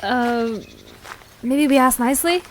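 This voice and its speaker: A woman's voice: high voice, unhurried, a little hiss or hum. A woman playing an eager, inquisitive young adventurer in an audio drama. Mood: hopeful. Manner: eager, questioning. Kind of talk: scripted audio drama acting.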